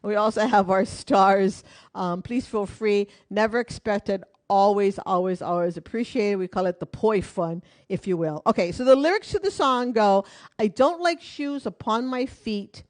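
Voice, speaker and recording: A woman's voice: medium pitch, normal speed. A woman teaching a live online hula class from her home in Hawaii, counting beats and talking through each move in a friendly, encouraging way.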